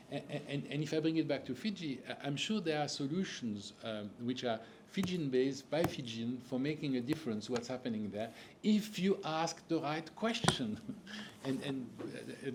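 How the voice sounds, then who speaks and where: A man's voice: medium pitch, background noise. A man speaking on a panel, stumbling and repeating words as he searches for them. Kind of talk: panel discussion.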